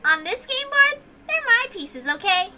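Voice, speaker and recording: Childlike female witch for a visual novel, extremely high and animated, mischievous and singsong. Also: a little slow, slight background noise, full character voice. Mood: gleeful scheming. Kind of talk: visual novel read-aloud character acting.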